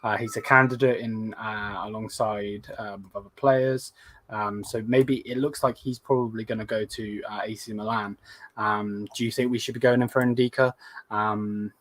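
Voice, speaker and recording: A man hosting a casual live stream, slightly hoarse, rambling with lots of hesitant fillers as he reads viewer comments. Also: on the low side, steady tone, moderate pace, fairly bright tone, no echo. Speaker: host of a live fan stream.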